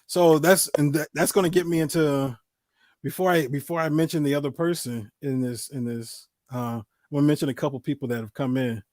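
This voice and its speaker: A man's voice: fairly high pitch, fairly even intonation, brisk, darkish tone, no echo, studio quality. A man in his forties hosting a casual talk show, speaking emphatically and repeating himself to drive points home. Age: 40s. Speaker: host.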